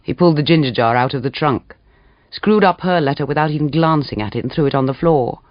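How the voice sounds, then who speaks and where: A man reading a literary story aloud as dramatic audiobook narration, measured and expressive. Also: a little high, dry recording, muffled recording. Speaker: audiobook reader.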